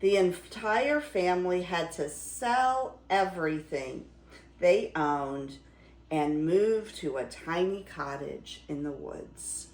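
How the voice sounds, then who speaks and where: An older woman reading a fairy tale aloud as a grandmother would, with theatrical, expressive emphasis. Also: fairly low pitch, slow pace, echoey room. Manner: theatrical, dramatic storytelling with emphasis.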